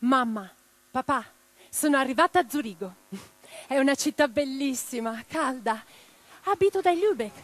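An actress playing a young, passionate and romantic socialist woman in a radio drama, speaking in an expressive, earnest, lyrical way. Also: high voice, moderate pace, no echo. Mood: excited. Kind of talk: theatrical radio drama monologue and dialogue.

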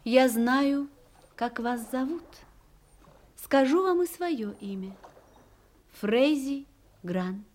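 An actress playing a mysterious, resolute young woman of the sea in an audio drama, imperious and deliberate. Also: high voice, lively intonation, darkish tone, full character voice. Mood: calm mystery.

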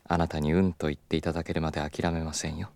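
A very low-voiced, self-assured executive for an audio drama. He speaks in polite, unhurried business language with a persistent, insinuating edge, recorded dry and close. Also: little pitch movement, creaky, a little mellow, no echo. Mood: confident, persistent. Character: smooth, persistent, self-assured male club executive from Kyoto. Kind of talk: Japanese audio drama acting.

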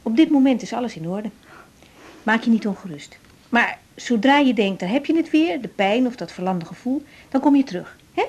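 High, mellow-voiced young woman doctor. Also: lively intonation, normal speed, husky. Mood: calm, reassuring. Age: young adult. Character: young female doctor, caring, brisk, in love. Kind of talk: naturalistic 1980s radio drama acting. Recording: noisy 1980s radio drama recording.

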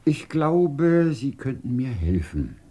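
An actor playing an urbane, teasing scientific director in a radio play, whimsical and courteous, with elaborately worded, theatrical speech. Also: male voice, medium pitch, sing-song, takes it slowly, mellow. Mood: sly, musing. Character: urbane, teasing male scientific director of a desert research station. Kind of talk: German radio play acting.

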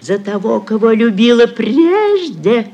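A woman's voice: on the high side, very animated, slow, warm tone, muffled recording, exaggerated voice. An actress playing a scheming, sugary middle-aged society mother in a classic radio play, cajoling and declaiming theatrically. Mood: persuasive. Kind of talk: classic Russian literary radio play acting.

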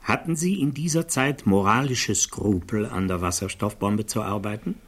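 An actor playing a formal, precise attorney who questions a witness in a German radio play. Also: male voice, on the low side, moderate pace, fairly bright tone. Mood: pointed, inquiring. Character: probing male defense attorney questioning a witness.

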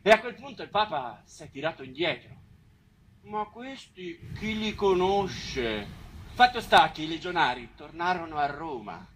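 Actor as a high-voiced Roman storyteller, noisy recording. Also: male voice. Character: animated Roman man recounting city history. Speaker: stage actor narrating. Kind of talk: theatrical storytelling in dialogue.

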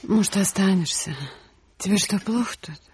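An actress playing a caring, understanding sweetheart of a sailor in a radio play. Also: female voice, mid-range voice, normal speed, darkish tone, a little hiss or hum, lightly acted. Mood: tender.

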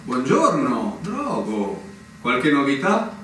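An older captain in a radio play, weary and wistful, dramatic swells, distant noisy hall sound. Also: male voice, mid-range voice, huge pitch swings, slow pace. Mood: placating. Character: older male army captain, resigned and wistful. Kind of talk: theatrical radio drama acting.

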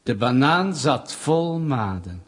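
An actor playing a mock-polite, menacing Soviet interrogator in a radio play, speaking deliberately and insinuatingly with pointed pauses. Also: male voice, medium pitch, sing-song, husky, heavily acted. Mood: quietly menacing.

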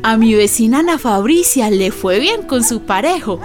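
A woman playing a neighbour who narrates a radio drama in an unhurried, confiding storytelling manner. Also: a little high, lively intonation, over music, close to an everyday voice.

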